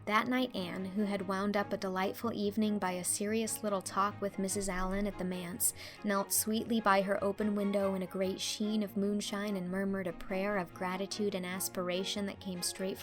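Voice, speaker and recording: A woman reading scripted storybook narration as an audiobook narrator and host. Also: medium pitch. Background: music.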